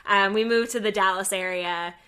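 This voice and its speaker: A woman from Texas with a light Southern accent hosts a podcast in easy, rambling chat. Her voice is bright and a little sharp. She jumps between thoughts and corrects herself as she talks. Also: mid-range voice, steady tone, moderate pace, clean tone. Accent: Southern US (Texan). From Texas, United States. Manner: chatty, rambling, self-correcting. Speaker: podcast host. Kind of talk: casual chat.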